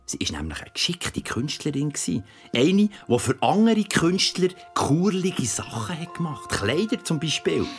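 A man's voice: a little high, big pitch swings, a little slow. A man with a Swiss German accent playing a father who tells his child a creepy bedtime story, theatrical and suspenseful. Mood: mysterious, narrating. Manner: theatrical, suspenseful, sometimes whispering. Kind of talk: audio drama storytelling. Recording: music behind the voice. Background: music.

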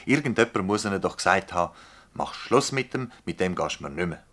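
An actor playing a probing, impatient public prosecutor in a Swiss German dialect radio play, questioning in a formal, insistent way. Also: male voice, low-pitched, lively intonation, a little fast, a bit bright. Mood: impatient, pressing.

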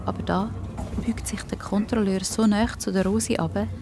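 A woman's voice: mid-range voice, fairly even intonation, moderate pace, noisy background. A woman with a Swiss German accent narrating a scripted audio story for children.

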